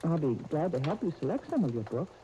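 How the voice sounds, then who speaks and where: An actress playing a gentle, earnest guardian of young twins in a 1930s radio soap opera, speaking tenderly. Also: female voice, deep voice, sing-song, fast. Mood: gentle, persuasive. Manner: tender, earnest, uses endearments. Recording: muffled, noisy 1939 radio disc recording.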